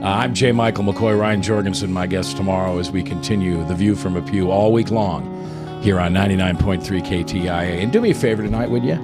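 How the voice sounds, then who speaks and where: Deep-voiced radio host over guitar music. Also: male voice, fast talker. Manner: brief encouraging interjections. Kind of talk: radio talk show.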